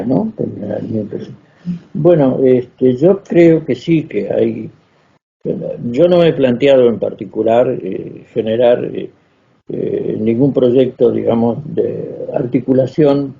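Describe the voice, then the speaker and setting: A man with a gravelly, creaky voice answers a question from the audience at a conference. He speaks haltingly, stopping for fillers and restarting his phrases. The sound is dull and lo-fi. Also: mid-range voice. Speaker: invited lecturer answering questions. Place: lecture hall.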